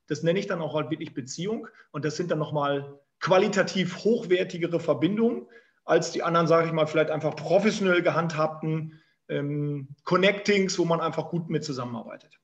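A man's voice: on the high side, a little fast, dull audio. A man in his forties from northern Germany with a northern German accent, telling his career story as a guest with many fillers. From Emsland, northern Germany. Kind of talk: storytelling interview answers. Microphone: remote call.

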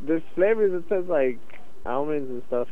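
A man's voice: fairly high pitch, expressive, normal speed. A man working as a store clerk, answering customer questions on the phone. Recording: muffled phone line, busy background chatter.

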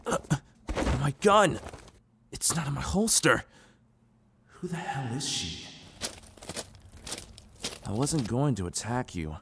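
A man playing a proud, irritable young gunslinger in an audio drama, gruff, curt and suspicious. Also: medium pitch, big pitch swings, takes it slowly, pauses often, noisy background. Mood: wary.

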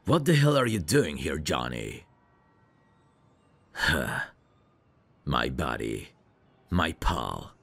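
A man playing a protective, self-assured, flirtatious mafia heir in an ASMR roleplay, commanding and smooth-talking. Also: rumbling low, very animated, slow pace, long pauses, a bit bright, full character voice. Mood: exasperated.

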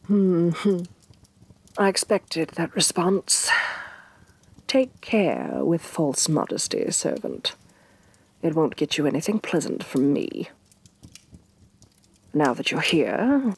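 A woman playing a haughty, scheming evil fantasy queen in an ASMR roleplay, speaking softly and breathily with imperious, deliberate disdain. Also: low-pitched, full character voice. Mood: threatening. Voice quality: soft, breathy. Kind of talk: soft-spoken ASMR roleplay.

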